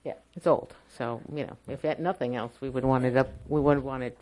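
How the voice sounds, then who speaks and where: Very low-voiced woman chairing a town meeting. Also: fairly even intonation, warm tone, rough recording. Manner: halting, repeats words while explaining. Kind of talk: public meeting remarks. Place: town hall meeting room.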